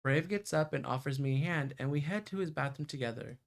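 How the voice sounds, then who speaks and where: A man's voice: on the high side, fairly quick. A man streaming a visual novel, reading its narration aloud and reacting live. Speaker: game streamer. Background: game music.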